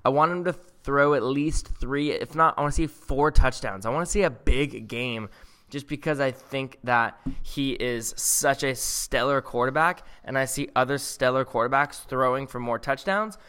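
Man, sports podcast co-host, bright forceful voice, excitable, rambling. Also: mid-range voice, moderate pace, clean tone. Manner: enthusiastic, rambling with restarts. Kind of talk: casual sports chat.

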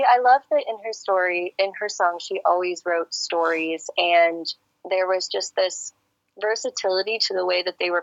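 Bright-voiced chatty woman singer-songwriter. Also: on the low side, creaky, no room sound, lo-fi sound. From Serbia. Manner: friendly, chatty storyteller. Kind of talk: phone-in radio interview. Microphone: phone line.

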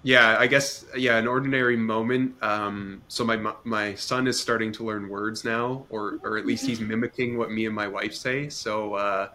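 A man speaking hesitantly in a casual group chat as a roundtable panelist, with many pauses and fillers. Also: fairly low pitch, fairly even intonation, sharp tone.

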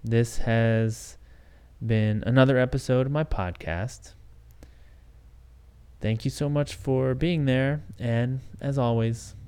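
A man's voice: medium pitch, slow, pauses often, a little mellow, smooth. A man hosting a solo podcast, talking things through in a thoughtful, hesitant way with many restarts and fillers. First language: English. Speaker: podcast host.

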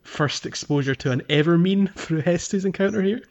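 A man co-hosting a casual video game podcast, talking in an animated way and repeating phrases for emphasis. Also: high-pitched, a little fast, dull audio. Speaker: podcast co-host.

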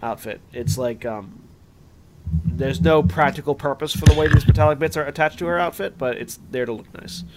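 A man's voice: on the high side, normal speed, very noisy. A man as game master, describing a scene with many fillers and restarts.

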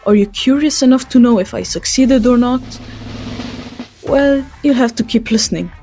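A woman's voice: on the high side, normal speed, dark tone, soft background music. A woman hosting a podcast, reading a scripted introduction and interview questions. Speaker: podcast host.

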